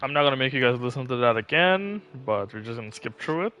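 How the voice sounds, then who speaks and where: A man's voice: mid-range voice, animated, fast, clear, resonant tone, highs cut off. A man giving casual, rambling livestream commentary, thinking aloud as he plays a game. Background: faint game music.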